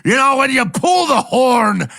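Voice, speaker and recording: A man voicing a rowdy, drunk male dwarf who talks crude and yells. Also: extremely high pitch, sing-song, a little slow, gravelly, heavily acted.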